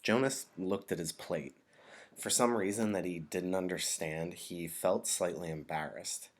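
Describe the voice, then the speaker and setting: A man's voice: low-pitched, measured pace. A man narrating a novel as a teacher reading aloud to students. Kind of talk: storybook narration, read aloud.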